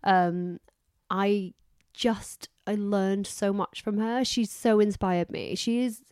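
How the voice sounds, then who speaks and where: A woman's voice: mid-range voice, fairly even intonation, slow pace, a little mellow, clear, resonant tone, no echo, studio quality. Effusive woman losing her voice. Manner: effusive, rambling, chatty. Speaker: podcast co-host. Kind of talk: casual podcast chat.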